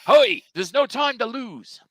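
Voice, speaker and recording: A man's voice: very high voice, sing-song, normal speed, a bit bright, dry recording, heavily acted. A man playing an earnest, plucky, hapless cartoon hero with pleading melodrama in a table read. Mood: encouraging.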